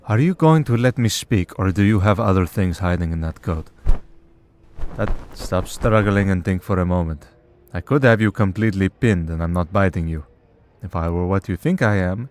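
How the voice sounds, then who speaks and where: A man's voice: on the low side, lightly acted. A man voicing a centuries-old vampire who hunts monsters, wry, patient and dryly exasperated, in a scripted audio roleplay. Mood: exasperated, pleading. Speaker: voice actor.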